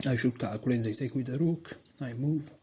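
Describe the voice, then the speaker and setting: A man with a deep voice talking quietly through his moves as he plays an online chess game. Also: brisk, a little hiss or hum. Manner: quiet, low-voiced thinking aloud. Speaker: chess streamer. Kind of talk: live game commentary.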